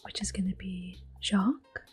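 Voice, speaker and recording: A woman giving soft-spoken, gentle ASMR commentary over a video game, in a breathy whisper. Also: medium pitch, fairly even intonation, soft background music. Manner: gentle, unhurried. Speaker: ASMR gameplay host.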